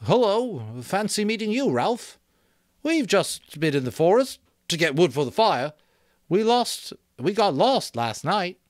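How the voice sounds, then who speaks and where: Male reader as nervous storybook twin boy, stilted and evasive, clearly raised pitch. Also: big pitch swings, unhurried, no echo, studio quality. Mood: awkward politeness. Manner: stilted, hesitant, repetitive.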